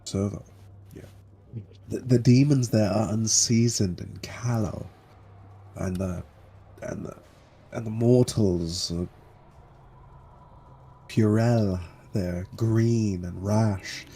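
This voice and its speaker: A man's voice: on the low side, steady tone, slow, pauses often, warm tone, breathy edge, full character voice. A man playing a scheming, bony male summoner who talks formally and stammers and restarts often. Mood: persuasive. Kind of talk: tabletop roleplay in character.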